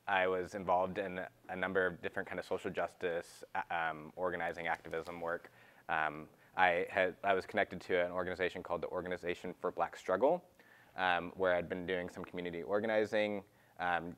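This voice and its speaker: A man in his twenties from Texas, introducing himself on a panel in a measured way with many fillers. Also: deep voice, a bit bright, smooth. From Texas, USA. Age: 20s. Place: large meeting room.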